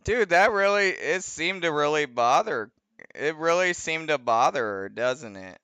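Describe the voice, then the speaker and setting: A man's voice: on the high side, lively intonation, a little slow, sharp tone, smooth, dry recording. A man hosting a live stream, commenting casually and bluntly on what is happening. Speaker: host of a live reaction show.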